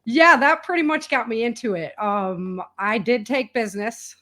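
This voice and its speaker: A woman's voice: a little high, normal speed, sharp tone. A woman with an American accent chatting casually as a guest, telling stories in a candid way with everyday slang. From rural United States. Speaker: guest, indie author and entrepreneur. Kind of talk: casual conversational storytelling.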